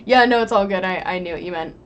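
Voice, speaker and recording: A woman's voice: medium pitch, quick pace, lo-fi sound, slight background noise. A woman livestreaming in a casual, informal way, rambling and thinking aloud as she chats with viewers. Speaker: live streamer drawing digital art and chatting with viewers.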